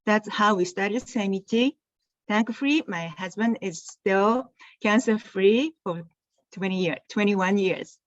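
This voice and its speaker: A woman's voice: medium pitch, measured pace, dry recording. Japanese-accented woman guest, earnest storyteller, dry lo-fi call audio. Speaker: guest, tea company founder. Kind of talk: storytelling interview answer.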